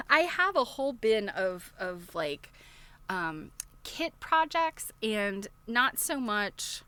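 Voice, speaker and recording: A woman's voice: on the high side, sing-song, extremely slow, pushed and bright, clear, resonant tone. A woman hosting a casual unboxing livestream, rambling and thinking aloud as she shows items and puts questions to her viewers. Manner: chatty show-and-tell, asking viewers questions. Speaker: livestream host.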